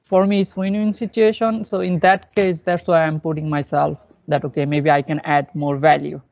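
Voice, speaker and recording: Slow-speaking participant from Bangladesh. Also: mid-range voice, flat delivery, creaky, a little mellow, no echo. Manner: slow, halting non-native English. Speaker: workshop participant. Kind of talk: asking question in online workshop. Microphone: video call. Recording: dry muffled call audio.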